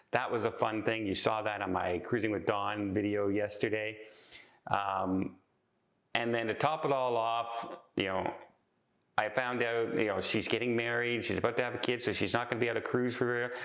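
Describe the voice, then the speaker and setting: A man talking casually in a vlog, chatty and heartfelt, with a calm voice and frequent restarts. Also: fairly low pitch. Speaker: travel vlogger. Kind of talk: casual reflective vlog talk.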